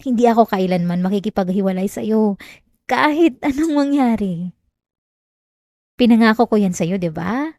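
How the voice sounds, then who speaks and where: A woman's voice: mid-range voice, lively intonation, moderate pace, pauses often, a little mellow, dry recording, natural voice. Sweetly menacing Filipino yandere girlfriend, acted by a woman, close and dry. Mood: forgiving, clingy. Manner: clingy, coaxing, breaking into giggles, veiled threats. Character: possessive obsessive girlfriend, sweetly menacing, unhinged yandere lover. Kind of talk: intimate ASMR roleplay acting. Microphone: close dry microphone.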